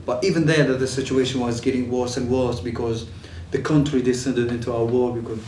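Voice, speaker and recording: A young man from Syria speaks, recounting his story with pauses, fillers and restarts. He sits some way from the mic in an echoey room, with faint ambient noise behind him. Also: mid-range voice, steady tone, a little mellow, recorded from a distance. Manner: hesitant, many fillers and restarts. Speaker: refugee telling his story. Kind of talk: personal testimony.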